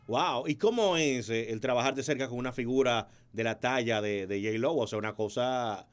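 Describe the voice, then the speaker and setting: A man with a Dominican accent hosting a casual urban music interview on radio, effusive and quick to exclaim. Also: medium pitch, clear, resonant tone. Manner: effusive, frequent exclamations of wow. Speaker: radio host. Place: small radio studio. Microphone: close studio microphone with headphones.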